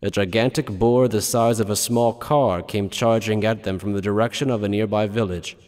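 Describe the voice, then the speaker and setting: A man's voice: on the low side, steady tone, normal speed, darkish tone. A man reading scripted story narration as the narrator, with long hall-like reverb on his voice.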